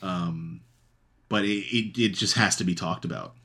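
A man co-hosting a casual chat show, his voice sitting a bit low. He gushes eagerly, trips over his words and restarts sentences often. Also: sharp tone, slightly rough. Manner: enthusiastic, halting with restarts and fillers. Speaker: podcast co-host.

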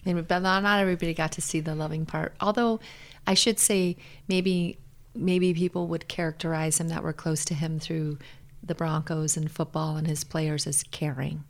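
A middle-aged American woman speaking earnestly and in a measured way as a guest in a reflective personal interview. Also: low-pitched, steady tone. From Hawaii, USA.

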